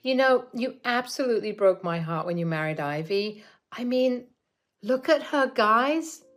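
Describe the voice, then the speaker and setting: Woman reading a spiteful, entitled mother-in-law, pitched up, scornful, lively. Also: unhurried.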